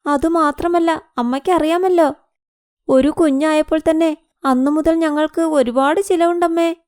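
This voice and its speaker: Cartoon daughter played by a woman: young, married, high-pitched, self-pitying and wheedling, dry recording. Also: steady tone, moderate pace, darkish tone, no echo, natural voice. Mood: plaintive, wheedling. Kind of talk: Malayalam cartoon moral story acting.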